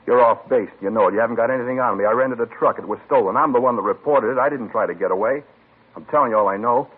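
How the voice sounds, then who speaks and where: A cagey, defiant ex-con, played by a man in an old radio crime drama, spitting out slangy tough-guy lines fast in a low, husky voice. The sound is thin and tinny, like an old broadcast over a phone line. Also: lightly acted. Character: sullen male ex-con suspect, cagey and defiant.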